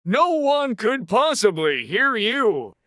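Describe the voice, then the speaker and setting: A man voicing a dim, obstinate footman creature in a storybook, with a slow, vacant, deliberate manner. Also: extremely high pitch, sing-song, pushed and bright, no echo, studio quality, pitched above the performer's own voice, exaggerated voice. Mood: dully pedantic.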